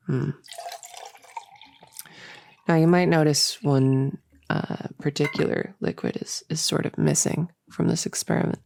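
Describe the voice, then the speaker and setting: A woman playing a playful, doting young cultist in an intimate roleplay monologue, chatty and halting as she teases and coddles. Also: extremely low pitch, gravelly, darkish tone, no room sound, studio quality, full character voice. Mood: musing, awkward.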